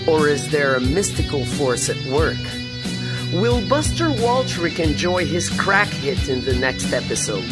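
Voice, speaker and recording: A woman reading scripted dramatic narration for a sci-fi radio serial. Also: deep voice. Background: loud music with guitar.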